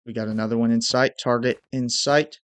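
A man's voice: medium pitch, fairly even intonation, fairly quick, no echo. Young man, stuffy nose, headset mic, small home room, gameplay commentary. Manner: hyped intro, then muttered play-by-play. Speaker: gaming YouTuber.

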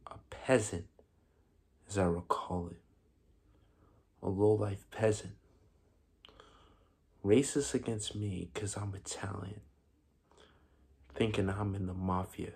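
A man acting a theatrical monologue as a bitter, menacing self-made Italian businessman out for revenge, speaking deliberately with pointed pauses in a husky voice. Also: fairly low pitch, exaggerated voice. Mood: bitter, hushed.

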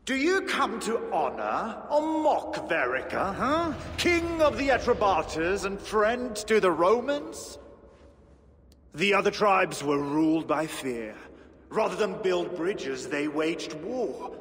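A man's voice: sits high, sing-song, slow pace, a bit bright, in a large hall, a little hiss or hum, full character voice. A haughty, mocking ghost king. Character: scornful ghost of a Rome-loving king.

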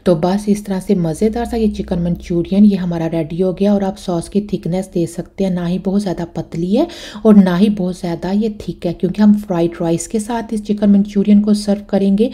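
Warm, mellow-voiced woman cooking host. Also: medium pitch, steady tone, a little fast. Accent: Pakistani Urdu. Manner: chatty, step-by-step explaining with frequent "na" tags. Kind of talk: voice-over recipe instructions.

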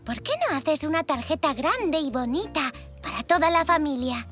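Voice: high-pitched, moderate pace, soft background music. An actor voicing a helpful, cheerful young girl zebra in a children's cartoon, with a childlike voice and an encouraging manner. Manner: encouraging, lively.

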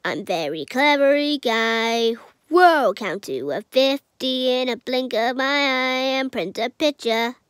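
A man's voice: very high voice, expressive, slow pace, a bit bright, clear, resonant tone, no room sound, clean studio sound, heavily acted. A man voicing a chirpy, boastful talking computer in a children's puppet show parody, with sing-song, childlike phrasing. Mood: cheerful, boastful.

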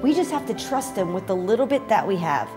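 A young woman giving a children's message in a bright, animated way, talking to kids in a living-room church set. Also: mid-range voice, fairly quick, music in the background. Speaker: children's pastor.

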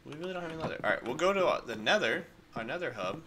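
A man's voice: on the high side, expressive, very fast, heavy background noise. Congested man chatting over gameplay. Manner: rambling, chatty, addresses chat as guys. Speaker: gaming creator. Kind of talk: casual unedited gameplay commentary.

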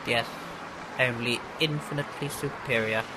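A man's voice: medium pitch, fairly even intonation, normal speed, noisy background, close to an everyday voice. A man playing a smug, patronizing son who collaborates with the invaders. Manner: patronizing, self-assured.

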